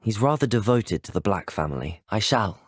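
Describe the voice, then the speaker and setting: Polite, expressive British schoolboy hero. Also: male voice, lowish voice, fairly quick, slightly rough, no echo, clean studio sound. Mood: concerned. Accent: British English. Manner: polite, articulate, well-spoken. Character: earnest, polite teenage boy student at a wizarding school. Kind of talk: video game dialogue acting.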